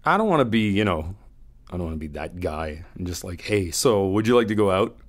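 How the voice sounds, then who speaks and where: A man playing an easygoing, flirty hotel guest in an audio roleplay, chatting casually and haltingly in one-sided phone talk. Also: low voice, lively intonation. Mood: smitten, bashful. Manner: casual, halting, slangy, swearing.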